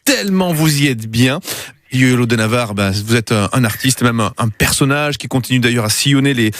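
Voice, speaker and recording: A man's voice: medium pitch, a little fast, a bit bright. A man hosting a morning radio interview from a studio, speaking close to the microphone. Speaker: radio host interviewing a guest.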